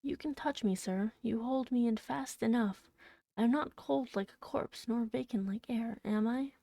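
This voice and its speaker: Storybook heroine as a woman reads her: devoted young woman, tender, composed, mellow and lightly creaky, recorded dry. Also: a little high, normal speed, no echo. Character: devoted young woman, gentle, steady and quietly teasing.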